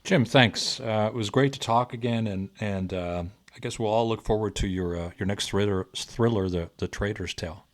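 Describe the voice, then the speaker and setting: A man's voice: on the low side, moderate pace, hardly any pauses. A man hosting a podcast interview, talking in a conversational way with small restarts. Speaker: podcast host.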